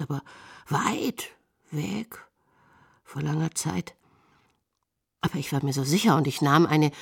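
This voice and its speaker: An actress playing a haunted woman confessing uncertain memories in an audio drama monologue, halting and intense. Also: sits low, vocal fry, full character voice. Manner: halting, intense, self-correcting.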